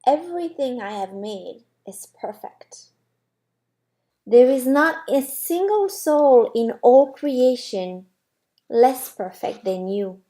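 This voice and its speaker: Woman playing storybook God, slow measured delivery, soft mellow tone, calm, gentle, assured. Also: high voice. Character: calm, all-knowing God, patient and gentle. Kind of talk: storybook character reading.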